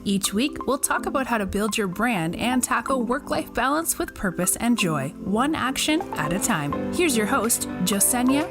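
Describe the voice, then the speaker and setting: A woman's voice: fairly high pitch, lively intonation, moderate pace, few pauses. A woman reading a scripted podcast intro in an upbeat announcing manner. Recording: voice over music. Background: music.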